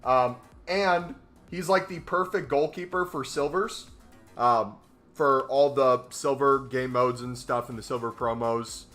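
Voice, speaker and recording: A man's voice: medium pitch, a little slow, fairly bright tone, soft background music. A young man in casual live-stream commentary, laid-back and talking to his chat from a small room. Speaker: trading streamer. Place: small room indoors, gaming chair.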